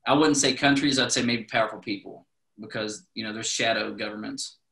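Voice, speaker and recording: A man's voice: on the low side, fairly even intonation, brisk, creaky, sharp tone. A man hosting a casual podcast, laid-back and slangy, calling people dude. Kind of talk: casual chat.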